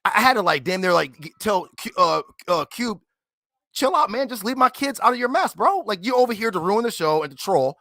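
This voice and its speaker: A man's voice: high voice, lively intonation, brisk, pushed and bright, no echo. A man from Cleveland retelling a debate, indignant and stammering with many fillers. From Cleveland, USA. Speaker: debater and guest. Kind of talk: casual chat retelling a debate.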